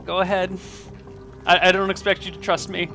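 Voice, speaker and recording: A man's voice: sits high, little pitch movement, moderate pace, bright, forceful tone, soft background music, pitched above the performer's own voice. A man voicing a timid, cornered male thief who speaks sheepishly. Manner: sheepish, apologetic.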